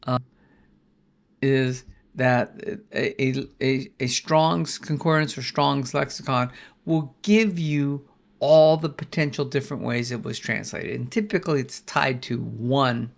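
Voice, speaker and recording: Gravelly older man teaching Bible study. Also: mid-range voice, takes it slowly. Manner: folksy, rambling, with many restarts and "right?" checks. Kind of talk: screen-share Bible study lesson.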